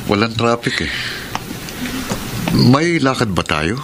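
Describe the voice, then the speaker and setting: An actor in a radio drama playing a grown man caught between two women, speaking calmly and with measure. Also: medium pitch, slow. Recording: radio drama with loud music.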